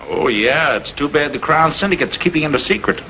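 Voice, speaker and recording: An actor playing a sardonic, defensive cartoonist under suspicion in a 1940s television crime drama, speaking with a challenging edge. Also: male voice, on the high side, animated, fairly quick, bright, forceful tone. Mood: sarcastic. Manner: sarcastic, challenging. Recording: noisy 1940s film soundtrack.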